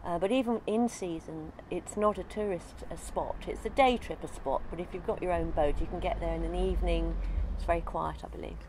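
Older woman interviewee, low-ish voice, relaxed and rambling, faint outdoor hiss behind. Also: fairly quick, low-quality recording. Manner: chatty, thinks aloud with many ums. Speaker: interviewee, sailor. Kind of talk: casual interview chat. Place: outdoors in a leafy garden.

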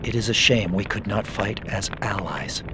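An actor playing a stern, honorable ninja assassin in a video game, speaking in a formal, solemn way. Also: male voice, fairly low pitch, normal speed, background noise, lightly acted. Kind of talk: video game cutscene acting.

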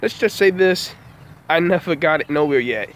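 A young man in his mid-twenties vents into a phone held at arm's length outside a house, his voice sitting a little high for a man. He sounds frustrated and dejected, circling back over the same complaints. Faint street noise hums behind him. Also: animated, normal speed, fairly bright tone. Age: 20s. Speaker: small vlogger. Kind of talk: casual vlog rant. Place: outdoors beside a house.

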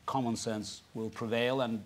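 Russian-accented male guest, 50s, halting speech with many fillers, theatre stage. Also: medium pitch, level tone. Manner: halting, with many restarts and "you know" fillers. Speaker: guest speaker. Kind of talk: onstage conversation before an audience. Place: large theatre stage, indoors.

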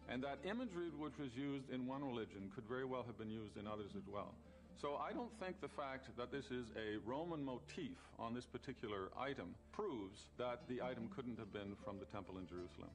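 A man, a scholar being interviewed, laying out a careful, reasoned argument. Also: mid-range voice. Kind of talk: interview commentary.

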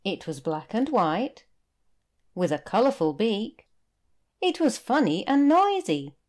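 A British woman teacher narrating a children's storybook for learners in a deliberate, expressive way. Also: mid-range voice.

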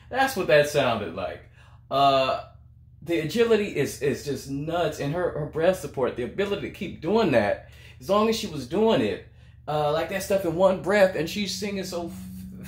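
A young man reacts casually as a video host, exclaiming in amazement and repeating himself. His voice is on the high side and very lively in its rise and fall. The room gives it an audible echo. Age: young adult. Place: small room indoors, beside a TV. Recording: roomy, echoey indoor sound.

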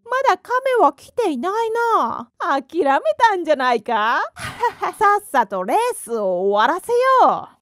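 A woman putting on a high-pitched cocky cartoon hare. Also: sing-song, unhurried, no room sound, studio quality. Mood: overconfident. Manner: scoffing, boastful. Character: cocky, mocking, arrogant male hare. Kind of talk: children's fairy tale character voice.

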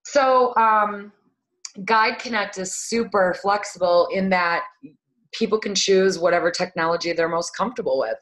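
A woman's voice: mid-range voice, moderate pace, a bit bright, rough recording. A woman presenting a product webinar on a video call, chatty with frequent ums and uhs. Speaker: marketing manager presenting a webinar.

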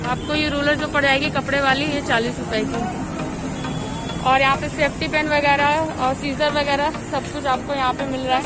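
A young woman gives a casual, explanatory shopping tour, speaking straight to viewers. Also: sits high. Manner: explanatory, addressing viewers directly. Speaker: market vlogger. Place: outdoor street market. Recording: music over outdoor street recording. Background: music, traffic.

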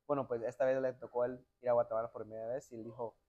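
Halting young man, restarts, English slipped in. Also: medium pitch, little pitch movement, warm tone, highs cut off. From United States. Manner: halting, many restarts and "me entiendes" tags, mixes in English words. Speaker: national team footballer, interview guest. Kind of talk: casual interview answers. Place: hotel lounge sofa, indoors.

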